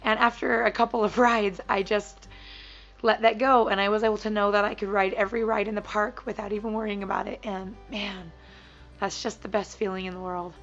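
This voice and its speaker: A woman in her thirties vlogging casually to camera, her voice gravelly and froggy from strain, chatty and sappy and quick to laugh. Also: mid-range voice, little pitch movement, fairly bright tone, muffled recording, faint music behind. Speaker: weight-loss vlogger.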